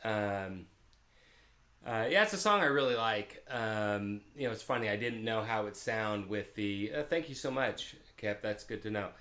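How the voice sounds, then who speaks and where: A man's voice: lowish voice, expressive, moderate pace, sharp tone. A man hosting a live music stream, chatting easily and rambling with his viewers between songs, picked up by a mic a few feet away. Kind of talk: casual chat between songs. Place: home room in California. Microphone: open-air mic three or four feet away. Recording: roomy echo from a distant open mic.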